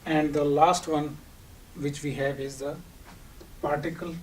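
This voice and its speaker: Distant-miked Pakistani man teaching, slightly high voice. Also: steady tone, measured pace. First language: Urdu. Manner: explanatory, posing questions then answering them. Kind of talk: instructional lecture. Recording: distant microphone, audible background noise.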